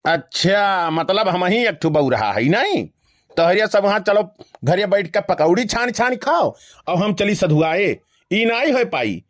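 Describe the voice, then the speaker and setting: A man's voice: sits high, sing-song, unhurried, no echo. A man voicing a grumbling, teasing older villager in an Awadhi cartoon comedy, with an Awadhi accent.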